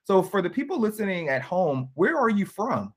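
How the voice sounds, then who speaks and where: A man hosting an interview podcast, upbeat and affirming, quick to praise his guest. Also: high voice, sing-song, husky. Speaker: podcast host. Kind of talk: casual interview chat.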